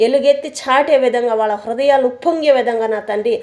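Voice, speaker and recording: Fast, fervent woman praying aloud. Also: a little high, steady tone, darkish tone. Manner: pleading, repetitive invocations. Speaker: prayer leader.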